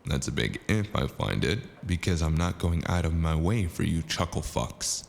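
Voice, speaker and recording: Man playing a deep, gravelly, sardonic noir mercenary. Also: moderate pace, slight background noise, exaggerated voice. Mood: grudging. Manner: sardonic, deadpan. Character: cynical, sarcastic mercenary man narrating his own noir tale. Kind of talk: noir audio drama acting and narration.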